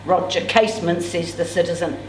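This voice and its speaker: A woman's voice: on the low side, steady tone, fairly quick. A woman in her sixties reading a novel aloud expressively, with a theatrical, declamatory touch to the dialogue. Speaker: amateur reader at a literary event. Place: indoors, in a function room. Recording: roomy, distant mic, clear background noise.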